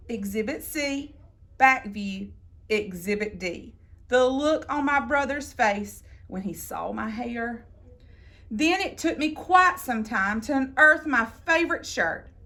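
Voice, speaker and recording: A woman reading a children's picture book aloud in an expressive, theatrical way, drawing out words for emphasis. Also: a little high. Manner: theatrical, deliberate phrasing with drawn-out emphasis. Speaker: storybook reader.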